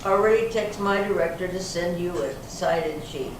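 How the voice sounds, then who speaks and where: A woman playing a feisty, indignant older suspect in an amateur readers theater reading, plain-spoken and slightly halting. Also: on the low side, natural voice. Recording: very echoey hall, distant mic, noisy.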